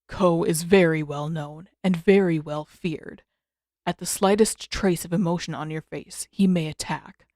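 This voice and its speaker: Woman voicing a low, grave old male mentor. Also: steady tone, a little mellow, no echo, clean studio sound, lightly acted. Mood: grave warning. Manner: grave, measured, formal. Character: stern old male avatar spirit mentor. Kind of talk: fan fiction audiobook character voice.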